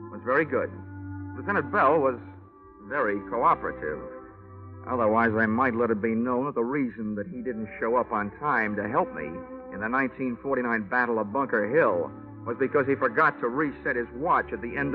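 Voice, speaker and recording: A man's voice: mid-range voice, a little fast, lightly acted. An American actor playing a wry, tough insurance investigator, narrating his case in a 1940s radio noir style. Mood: dry, wry. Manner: wry, hard-boiled, wisecracking. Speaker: lead actor, detective narrator. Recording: muffled narrow-band 1940s radio, music behind.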